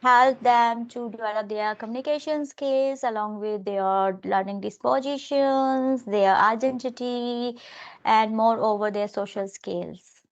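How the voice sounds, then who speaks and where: A woman with a fairly high voice speaks as an English teacher, giving conversational advice. She is instructive and repeats key phrases to drive them home. The sound is somewhat dull and lo-fi, like an online call. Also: measured pace. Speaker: English teacher, primary school teacher. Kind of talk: conversational spoken-English coaching.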